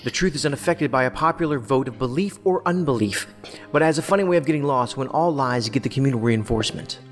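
A man's voice: mid-range voice, expressive, fast talker, lightly acted. Man acting a sardonic federal agent, audio drama, wry and philosophical, wordy, hall reverb, music behind. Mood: argumentative, intense. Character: sardonic, erudite male federal investigator, cocky and philosophical.

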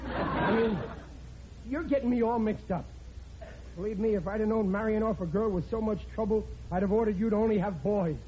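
A man's voice: high-pitched, fairly even intonation, fairly quick, mellow, natural voice. An actor playing a blundering, good-hearted working-class father in an old radio comedy, stammering in folksy grammar. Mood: exasperated. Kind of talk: 1940s radio comedy acting. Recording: muffled, noisy radio broadcast with studio audience.

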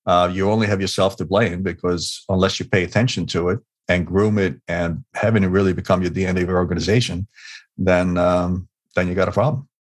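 Middle-aged man from Hungary, deep voice, dry close sound, earnest interview guest who circles back on his words. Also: steady tone, brisk, no echo. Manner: earnest, repeats words, frequent fillers. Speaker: guest, business author. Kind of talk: conversational interview storytelling.

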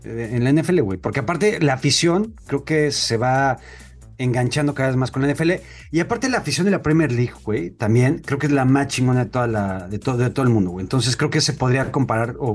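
A man's voice: mid-range voice, fairly quick, soft background music. A young Mexican man co-hosting a sports podcast, talking in a slangy, profane, opinionated way. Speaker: podcast co-host. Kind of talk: casual sports chat.

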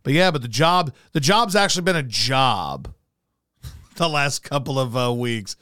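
Hoarse rambling man hosting a show. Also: mid-range voice, animated, unhurried, bright, forceful tone, no echo, clean studio sound. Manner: rambling, loose and profane. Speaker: radio host. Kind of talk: casual podcast chat and live ad read.

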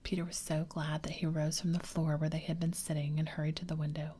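A woman reading a classic children's story aloud in a gentle, unhurried whisper. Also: low voice, level tone, slight background noise. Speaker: reader.

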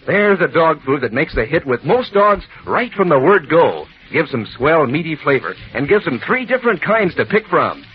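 A man's voice: on the high side, sing-song, normal speed, few pauses. A male announcer reading 1940s radio ads in a peppy, salesman-like way. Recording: dull lo-fi old radio recording.

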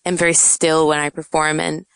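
Young woman, soft and halting, low-pitched, dry close sound. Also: little pitch movement, clear, resonant tone, no room sound. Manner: soft-spoken, hesitant, many fillers. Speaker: poet. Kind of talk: casual interview chat.

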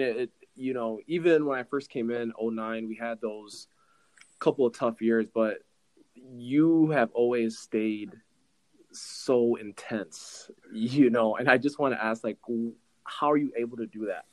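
Slow-talking man asking earnest questions. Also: mid-range voice, darkish tone, low-quality recording. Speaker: co-interviewer, former athlete of the coach.

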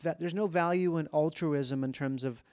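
A man's voice: fairly high pitch, normal speed, a little mellow, no room sound, clean studio sound. A man chatting casually as a podcast host, informal and reflective, swearing freely. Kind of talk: casual chat.

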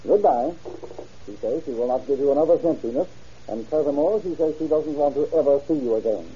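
A man's voice: fairly high pitch, fairly quick, warm tone, slightly rough. Skeptical office man, muffled radio. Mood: dismissive. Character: dry, skeptical office professional, unimpressed by threats. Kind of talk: old-time radio crime drama acting. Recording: dull, muffled old radio recording.

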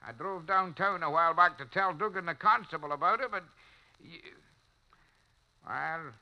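An actor playing a gruff, greedy middle-aged janitor in an old radio drama, suspicious and wheedling as he bargains. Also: fairly high pitch, normal speed, muffled recording, lightly acted. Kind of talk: 1940s radio acting.

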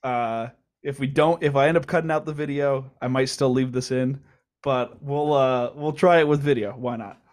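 Male podcast host, mid pitch, relaxed questioner. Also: normal speed. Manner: easygoing, asks questions with loose restarts. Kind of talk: casual interview.

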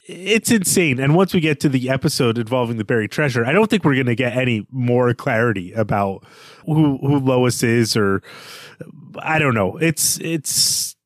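A man's voice: medium pitch, expressive, moderate pace, no echo, clean studio sound. A man co-hosting a literary podcast, reading a passage aloud and then thinking through the names in it. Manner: reads aloud from the novel, then muses over names. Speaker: podcast co-host.

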